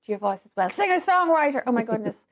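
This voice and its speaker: A woman's voice: fairly high pitch, expressive, fast, muffled recording. An Irish woman hosting a studio interview, effusive and full of praise for her guest. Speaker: radio show host. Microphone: studio microphone.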